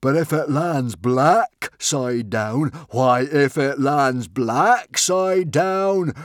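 A crazed Viking chieftain from a storybook, roaring high and loud with wild glee. His voice sits far above the reader's own, stretched into slow, gloating shouts. The sound is close and dry. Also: very animated, dry recording. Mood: manic glee. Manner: gleeful, roaring, drawn-out shouts. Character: manic bloodthirsty male Viking chieftain.

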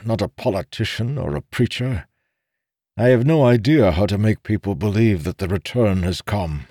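Man voicing a grave, weary soldier, mellow voice. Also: on the low side, no room sound, clean studio sound, pitched above the performer's own voice. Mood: troubled. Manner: grave, formal, earnest. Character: weary, earnest soldier, frustrated and doubted as madman.

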